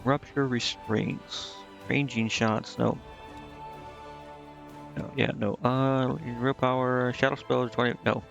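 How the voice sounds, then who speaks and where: A man streaming a video game, casually thinking out loud and reading menu text to himself, with some offhand swearing. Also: mid-range voice, little pitch movement, slow pace, gravelly, dull audio, faint music behind. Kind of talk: casual live gameplay commentary, muttering and reading menu text aloud.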